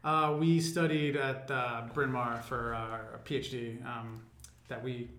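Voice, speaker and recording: A man's voice: mid-range voice, level tone, takes it slowly, fairly bright tone, reverberant. A young man from Delaware talking casually as an interview guest, wry and prone to repeating words. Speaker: guest, business co-owner.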